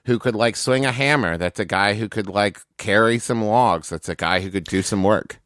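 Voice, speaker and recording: A man with a fairly low, very smooth voice, captured close and dry. He is a tabletop player making up backstory aloud, casual and explanatory, leaning on conversational filler. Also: moderate pace, a bit bright, no echo. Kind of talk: conversational improvised character backstory.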